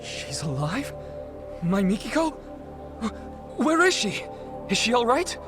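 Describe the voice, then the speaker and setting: An actor playing an anxious, easily shaken middle-aged father in a game cutscene, speaking in a proper but halting, emotional way. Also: extremely high pitch, sing-song, music in the background, full character voice. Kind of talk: dramatic game cutscene dubbing.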